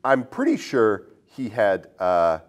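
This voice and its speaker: A man hosting a casual film discussion, asking probing questions in a rambling, animated way. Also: on the low side, slow, muffled recording. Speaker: podcast host.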